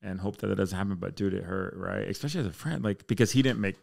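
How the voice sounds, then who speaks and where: A man's voice: deep voice, quick pace, creaky, darkish tone. An American man chatting casually and candidly as a podcast guest, with slangy, bro-heavy speech. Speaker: podcast guest, military fire chief.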